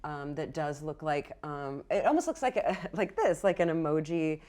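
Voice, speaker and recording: A woman running a tabletop game as game master, improvising the narration in a halting, thinking-aloud way. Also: deep voice, animated, dark tone.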